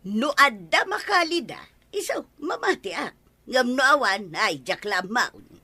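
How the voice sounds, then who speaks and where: Shrill, high, nagging village wife. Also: female voice, big pitch swings, a little slow, sharp tone, slightly rough, no room sound, full character voice. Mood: doubtful. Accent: Ilocano Filipino. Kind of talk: Ilocano radio comedy drama acting.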